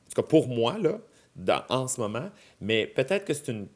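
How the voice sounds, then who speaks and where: A man's voice: medium pitch, big pitch swings, moderate pace. Québécois man, thirties, podcast guest, talks it through with lots of asides. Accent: Québécois French. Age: 30s. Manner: chatty, explains with examples and asides. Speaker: podcast guest, drag performer. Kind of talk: casual chat.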